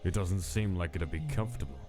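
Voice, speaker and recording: Low-pitched man playing detached monster scientist, comic dub, cold log-entry delivery, prominent music. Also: expressive, warm tone. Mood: clinical, detached. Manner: clinical, measured, dismissive. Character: cold, detached male monster mad scientist, a reluctant creator. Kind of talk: fan comic dub narration of lab log entries.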